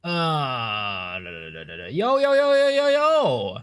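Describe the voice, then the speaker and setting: Chatty American streamer with a lively voice. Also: male voice, mid-range voice, slow, a little hiss or hum. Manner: chatty, thanks viewers with big excited bursts. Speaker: livestreamer. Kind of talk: casual gameplay commentary.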